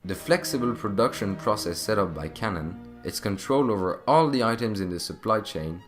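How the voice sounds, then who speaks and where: A man's voice: lowish voice, expressive, normal speed, over music. A man reading scripted narration as the narrator of a corporate video.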